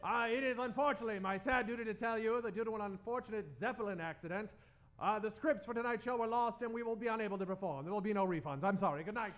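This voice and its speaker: A man's voice: high voice, quick pace, a bit bright. A man hosting a live improv show in mock old-time radio style, theatrical and tongue-in-cheek, addressing a theater audience. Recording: roomy, echoing theater stage.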